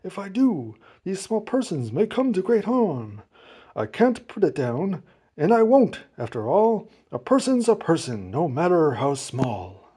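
A man voicing an earnest, gentle elephant in a storybook, protective and worried, with emphatic, pleading delivery. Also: high voice, big pitch swings, measured pace, slightly rough, pitched above the performer's own voice, full character voice. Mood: worried, resolute.

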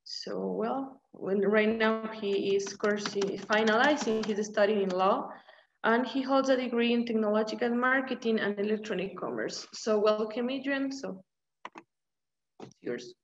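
A woman's voice: a little high, lively intonation, unhurried. A young woman in her twenties with an Ecuadorian Spanish accent, a native Spanish speaker, reading a speaker's bio with some hesitation on a video call. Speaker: presenter introducing a speaker. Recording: echoey, dull call audio with faint noise.

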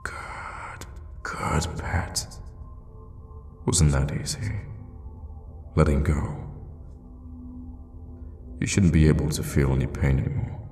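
A man voicing a sadistic, teasing male vampire captor in a hushed, intimate ASMR roleplay, with a deep, gravelly half-whisper. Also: steady tone, soft background music, heavily acted. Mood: teasing, soothing, sinister. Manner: slow, hushed, menacingly tender with pet names. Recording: long reverb, faint background noise.

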